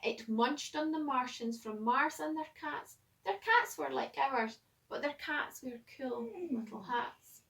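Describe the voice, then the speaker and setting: A woman reading a children's picture book aloud like a teacher, expressively, with dramatic pauses and emphasis. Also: high-pitched, lively intonation, creaky, fairly bright tone, far from the mic. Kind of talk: animated children's storybook read-aloud.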